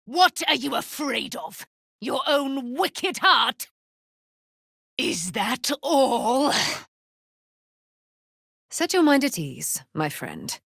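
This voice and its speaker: A woman's voice: mid-range voice, expressive, slow pace, long pauses, a bit bright, no room sound, studio quality. An actress voicing a proud, vengeful immortal warrior woman in a fantasy game, with grand, archaic, declamatory delivery. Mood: scornful, then grand, gracious. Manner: declamatory, archaic, grand, speaks of herself in third person. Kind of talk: dramatic fantasy game acting.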